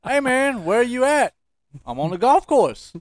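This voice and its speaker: A man's voice: very high-pitched, big pitch swings, a little slow, no room sound, studio quality. A man co-hosting a podcast, telling stories casually and emphatically in folksy, colloquial language. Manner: colloquial, emphatic, repeats phrases for effect. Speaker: podcast co-host. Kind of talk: casual comic storytelling.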